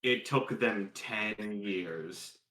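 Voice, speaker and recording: A man's voice: lowish voice, extremely slow, pushed and bright. A man chatting casually as a tabletop player, joking in a mock-exasperated way. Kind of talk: casual chat. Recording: roomy echo, faint noise, clicks.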